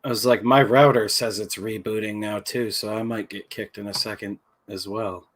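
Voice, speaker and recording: A man's voice: fairly low pitch, fairly even intonation, moderate pace, a bit bright, slight background noise. A man co-hosting a livestream over a video call, talking casually and bluntly with frequent swearing. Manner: profane, blunt. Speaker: podcast co-host.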